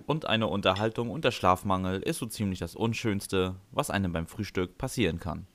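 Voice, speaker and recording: A man's voice: low-pitched, fairly bright tone, no echo. A man reading scripted first-person comic narration for a fan fiction audio story.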